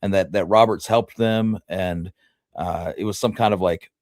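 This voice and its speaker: A man co-hosting a casual history discussion, thinking aloud in a halting way. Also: sits low, steady tone, darkish tone, no room sound, clean studio sound. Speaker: history show co-host.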